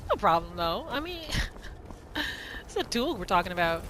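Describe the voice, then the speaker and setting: A woman's voice: mid-range voice, big pitch swings, a little slow, a bit bright, slight background noise. A woman hosting a gaming video, narrating her roleplay playthrough in a casual, chatty storytelling way. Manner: chatty, informal, storytelling in third person.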